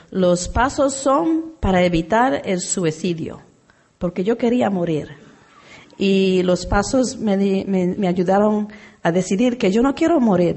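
A woman's voice: medium pitch, darkish tone. A woman from Puerto Rico giving her personal testimony at a recovery meeting, hesitant, with many fillers and restarts. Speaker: AA meeting member sharing.

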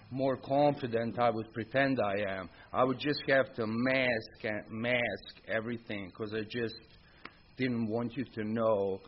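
A middle-aged man with a Croatian accent speaks candidly to a recovery meeting at an ordinary male pitch. He tells his own story in short, blunt, informal sentences. The recording is dull and lo-fi, with clear background noise. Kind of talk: personal recovery testimony, informal spoken storytelling. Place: live talk at a recovery meeting.

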